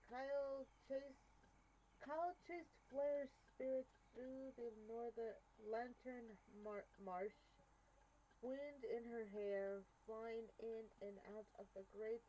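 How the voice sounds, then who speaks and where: A woman with a fairly high but mellow voice reads game text aloud as a streamer. She is deliberate and expressive, and there is faint noise behind her. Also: rough recording. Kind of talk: reading game text aloud during a playthrough.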